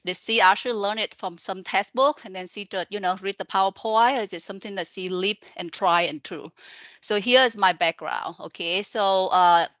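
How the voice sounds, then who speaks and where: A woman's voice: mid-range voice, moderate pace, a bit bright, dry recording. A woman in her forties from Vietnam with a Vietnamese accent, a college professor giving an earnest, encouraging online presentation to students. She fills her talk with "uh" and keeps checking in with "okay?".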